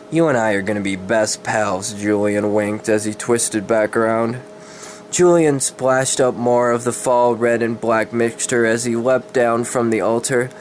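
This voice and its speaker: A man giving a dramatic reading of fantasy horror fiction, stumbling over words and correcting himself. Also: medium pitch. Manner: stumbling, self-correcting delivery. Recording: clear background noise.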